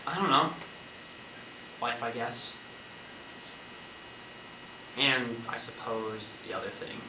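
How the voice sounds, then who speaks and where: A man's voice: mid-range voice, lively intonation, slow. A man acting in a stage play as a wry, rambling man facing death in a zombie apocalypse. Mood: subdued, pensive. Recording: echoey stage from far off, noisy.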